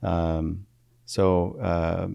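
A man in his thirties from Iraq, a therapist being interviewed in a podcast studio on a boom mic, speaking hesitantly with many fillers and restarts. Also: flat delivery, very slow, creaky, dark tone, clean tone, dry recording, highs cut off. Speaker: therapist guest. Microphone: studio mic on boom arm.

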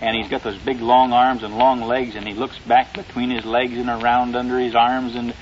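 A man with a halting, folksy storytelling manner, speaking as a guest sports writer in an early 1950s radio interview. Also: mid-range voice, level tone. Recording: dull, noisy old radio recording.

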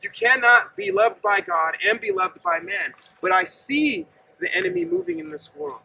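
A man's voice: very high voice, big pitch swings, normal speed, vocal fry, bright, forceful tone, slight background noise. A man in his thirties preaching earnestly and conversationally outdoors, standing and gesturing while he talks to a camera set some distance away. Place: outdoors by a gravel lot and fence. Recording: distant outdoor mic with faint ambience.

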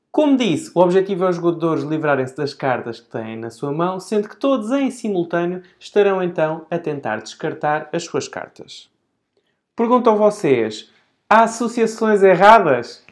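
A man's voice: on the high side, a little mellow. A man in his thirties with a European Portuguese accent, presenting a step-by-step tutorial in a didactic way, speaking directly to viewers. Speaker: board game channel presenter. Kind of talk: explanatory tutorial to camera. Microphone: lapel microphone.

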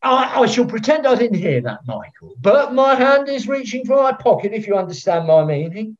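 A pompous, eccentric older football referee in a comedy. His delivery is theatrical and self-important, with a very animated pitch. The sound is dull and lo-fi, like a video call. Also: moderate pace. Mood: wounded, indignant. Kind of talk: filmed comedy acting. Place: room at home, on a video call.

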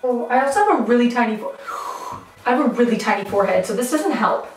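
Young woman, high voice, playful, self-mocking, echoey bathroom, faint music. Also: lively intonation, fast talker, far from the mic. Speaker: beauty vlogger. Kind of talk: casual makeup vlog chat. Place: small tiled bathroom, indoors. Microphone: camera mic at arm's length.

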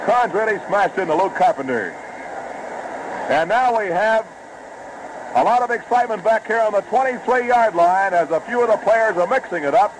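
1950s radio football announcer, crackly. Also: male voice, sits high. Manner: brisk, rising with the action. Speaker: play-by-play announcer. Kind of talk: live radio football commentary. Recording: noisy old 1950s radio broadcast.

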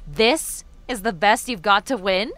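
A woman acting a bright, mocking female villain. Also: medium pitch, lively intonation, unhurried. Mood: smug, taunting. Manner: mocking, theatrical. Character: bitter, gloating female villain crime boss and jealous sister. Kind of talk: audio drama acting.

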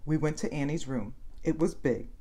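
A woman reading a children's story aloud as a storyteller, with expressive, deliberate phrasing and drawn-out emphasis. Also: sits low. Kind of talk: read-aloud story narration.

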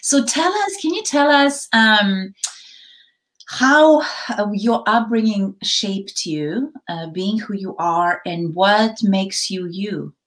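A woman's voice: medium pitch, slow pace, a bit bright. A middle-aged woman hosting a chat show from home, gushing and bubbly, with many fillers. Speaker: host. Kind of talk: casual chat. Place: home room with bookshelves. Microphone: video call.

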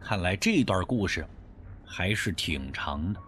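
A man narrating a scripted mystery audiobook in the first person, with dramatic, expressive storytelling. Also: low-pitched, unhurried, soft background music.

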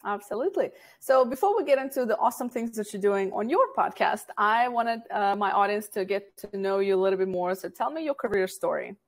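A woman's voice: mid-range voice, expressive, brisk, hardly any pauses. A young woman hosting a podcast interview over a video call, chatty and enthusiastic. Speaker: podcast host. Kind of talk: casual interview chat. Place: small room at home.

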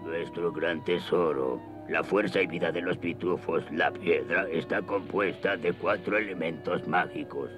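A man playing a very old, kindly, weary gnome grandfather in a cartoon, gravelly and fretful. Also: sits low, measured pace, hardly any pauses, music in the background. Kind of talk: cartoon character acting.

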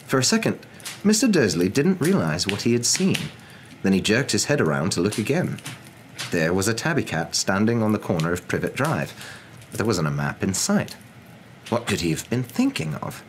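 Very deep-voiced young man reading a story. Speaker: storybook narrator. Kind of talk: expressive audiobook-style reading for ASMR. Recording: clear steady background ambience noise.

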